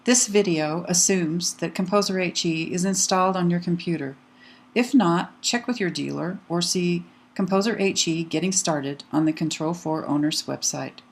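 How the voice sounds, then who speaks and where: A woman reads a scripted software tutorial, explaining each step clearly as an instructional narrator. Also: fairly low pitch, moderate pace.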